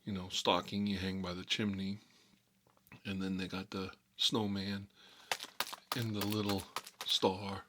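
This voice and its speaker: A man's voice: low-pitched, little pitch movement, unhurried, long pauses, noisy background. A man playing a chatty, boastful American mob boss who rambles and repeats himself in a casual ASMR role-play monologue. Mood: boastful, rambling. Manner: folksy, repetitive, rambling, emphatic. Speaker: ASMR storyteller playing a recurring character.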